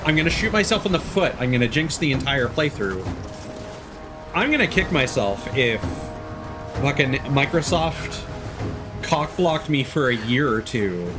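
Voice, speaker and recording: A man doing casual live game commentary, rambling and swearing as he muses aloud. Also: mid-range voice. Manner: rambling, profane, musing aloud. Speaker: game streamer. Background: game music.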